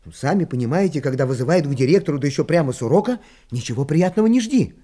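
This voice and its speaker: A man's voice: on the high side, lively intonation, quick pace, mellow. A man narrating a radio play as a wry, confiding storyteller.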